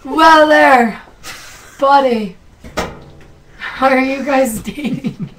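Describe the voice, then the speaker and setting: Raspy young woman joking on a webcam stream, talks slow, lots of giggles. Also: sits high, fairly bright tone, distant mic, slight background noise, poor audio. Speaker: co-host of a live stream. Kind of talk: casual live stream chat. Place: home music studio, indoors. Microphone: webcam mic.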